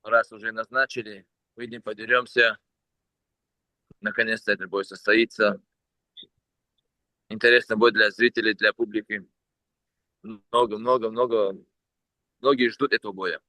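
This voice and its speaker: A man's voice: lowish voice, fairly even intonation, normal speed, long pauses, pushed and bright, dry recording, poor audio. A man who fights professionally, giving short interview answers.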